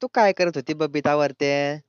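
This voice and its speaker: A man playing a brash, lecherous brother-in-law in a crude comedy cartoon, speaking gruff, teasing rural Ahirani from north Maharashtra. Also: on the high side, moderate pace, no room sound, lightly acted. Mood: flirtatious. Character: lecherous, brash brother-in-law visiting his wife's family. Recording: slightly dull, lo-fi dry voice track.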